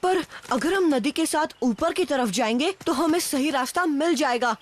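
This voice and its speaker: A woman's voice: high voice, animated, moderate pace. A woman voicing a brave, friendly young boy in a cartoon, eager and reassuring. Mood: eager, alert. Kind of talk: animated cartoon dubbing.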